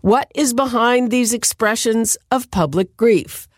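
A woman radio host, asking measured, deliberate interview questions. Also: a little high, no room sound, studio quality.

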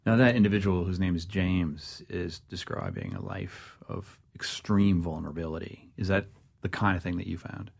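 A man hosting a radio news interview, moving between measured narration and questions to a guest. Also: low-pitched, gravelly, a little mellow, breathy edge.

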